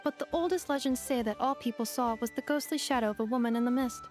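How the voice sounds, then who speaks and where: An actress plays a courteous female android guide from a fantasy game. The voice is fairly high, with composed, precise and formal phrasing. Music runs behind it. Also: fast, a little mellow, close to an everyday voice. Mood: matter-of-fact. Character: polite, knowledgeable female robot guide. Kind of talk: video game exposition acting.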